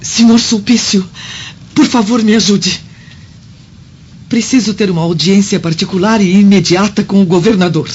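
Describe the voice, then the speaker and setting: A woman's voice: mid-range voice, normal speed, pauses often, a little mellow, a little hiss or hum, natural voice. A woman playing a sincere, anxious but determined Roman senator's wife in a radio drama. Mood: anxious pleading. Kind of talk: Brazilian radio drama acting. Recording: dull lo-fi radio drama with music.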